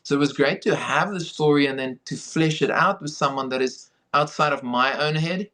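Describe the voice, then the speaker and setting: A man's voice: a little high, normal speed, fairly bright tone. South African man in conversation. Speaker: podcast guest, filmmaker and director. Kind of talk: conversational interview answers.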